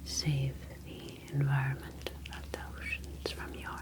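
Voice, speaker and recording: A calm, superior alien scientist from the ocean , played by a woman in an ASMR roleplay. The voice is a low, breathy whisper that stays soothing and very even. Each formal phrase is placed slowly and deliberately. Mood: grave, disapproving.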